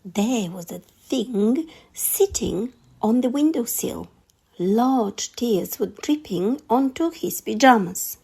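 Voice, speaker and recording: A woman narrates a children's story in an expressive storytime style. Her pace is very unhurried and her pitch moves widely as she stretches key words dramatically. The voice has a husky, slightly creaky grain. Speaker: storytime reader.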